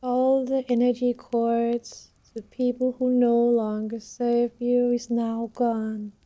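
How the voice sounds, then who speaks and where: A soft-spoken woman leading an ASMR energy-healing meditation in a soothing, deliberate manner. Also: fairly high pitch, completely flat delivery. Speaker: ASMR Reiki healer, host.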